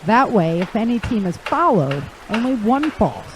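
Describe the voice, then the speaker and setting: Practical survivor, lively intonation. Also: female voice, on the high side, normal speed, background noise. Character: practical woman survivor travelling with her cart animal. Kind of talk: audio drama acting.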